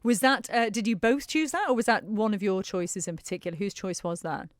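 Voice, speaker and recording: A woman's voice: medium pitch, a little fast, dry recording. A woman presenting a live radio show in a studio, interviewing guests with bubbly enthusiasm and a Manx accent.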